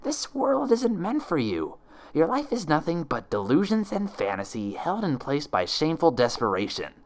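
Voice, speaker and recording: A man's voice: fairly high pitch, huge pitch swings, pitched above the performer's own voice. Man as a taunting, disdainful evil mare. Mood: smug. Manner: disdainful, slow taunting, hissing. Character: mocking, cruel female impostor pony, sinister double.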